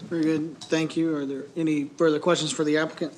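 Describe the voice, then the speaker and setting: A man's voice: a little high, little pitch movement, fast. A man chairing a city council meeting, formal and procedural as he moves through the agenda.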